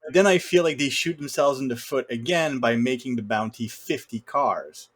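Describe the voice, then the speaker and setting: A man with a mid-pitched voice co-hosts a casual podcast about films. He speaks bluntly, grumbling with animation and dropping the occasional mild swear. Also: normal speed, fairly bright tone, breathy edge. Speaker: podcast co-host. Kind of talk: casual film discussion.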